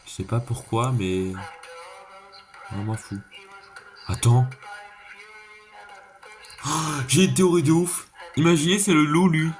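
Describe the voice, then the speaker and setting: A man's voice: on the high side, steady tone, takes it slowly, long pauses, over music. A man giving a casual, slangy reaction commentary, thinking aloud and repeating himself. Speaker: reaction video creator. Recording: clearly noisy background.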